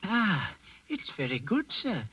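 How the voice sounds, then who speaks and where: An actor playing a timid, obsequious castle servant in a 1940s radio drama, hesitant and deferential. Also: male voice, high-pitched, huge pitch swings, takes it slowly, breathy edge, no room sound, exaggerated voice. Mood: nervously polite. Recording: dull, lo-fi vintage radio recording.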